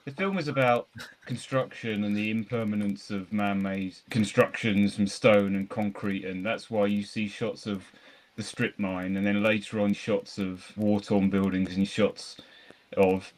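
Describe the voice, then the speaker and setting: Deep-voiced man chatting about films. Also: steady tone, measured pace. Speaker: podcast co-host reviewing films. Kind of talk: casual film discussion.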